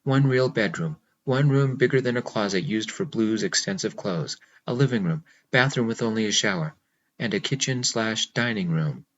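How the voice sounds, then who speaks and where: A man narrating a first-person romance novel in a measured, intimate way. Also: on the low side, lively intonation, lo-fi sound, a little hiss or hum. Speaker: audiobook narrator.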